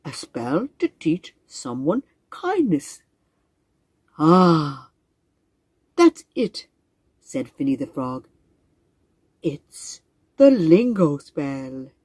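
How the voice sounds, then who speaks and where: A wise old frog who talks in slow, weighty phrases, his voice pitched a little lower than the teller's own. A woman acts him out in a storybook reading. The sound is close and dry. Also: very animated, a little mellow, slightly rough, no echo, full character voice. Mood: thoughtful.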